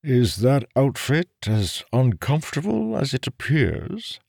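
Man as self-assured fantasy warrior, grave, formal, measured, expressive pitch, dry audiobook sound. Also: creaky, dry recording, heavily acted. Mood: dry, musing.

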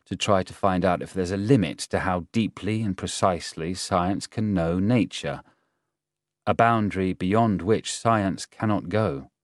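A man voicing a serious theoretical physicist in an audiobook, explaining in a deliberate, measured way. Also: deep voice, darkish tone, no room sound, studio quality.

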